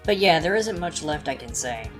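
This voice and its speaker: Woman with a low voice sharing opinions kinda resigned, music behind. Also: expressive, moderate pace. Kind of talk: casual opinion commentary.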